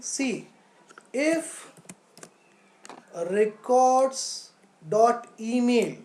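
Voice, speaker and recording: A woman's voice: a little high, mellow, echoey room. A woman teaching a live online coding lesson step by step, posing questions and answering them herself. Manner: instructional, poses questions then answers them, checks with "right?".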